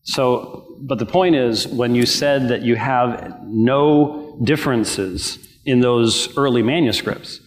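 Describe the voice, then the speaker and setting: A man's voice: medium pitch, takes it slowly, few pauses. A man arguing his side in a live debate, insistent, pressing his points one by one and often restarting his sentences. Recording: reverberant hall.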